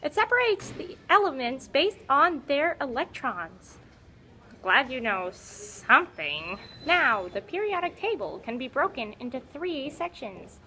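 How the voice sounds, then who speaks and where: Woman voicing a very high-pitched, haughty old puppet teacher. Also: sing-song, a little slow, pushed and bright, a little hiss or hum, exaggerated voice. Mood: lecturing, haughty. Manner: haughty, exasperated, scolding, lecturing. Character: grumpy, conceited old talking periodic table puppet, impatient teacher. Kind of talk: educational puppet show skit.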